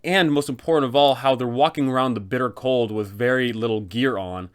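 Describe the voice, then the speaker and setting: A man's voice: mid-range voice, brisk, clean tone. A man hosting a guide for game masters, narrating from a script how to play a villain.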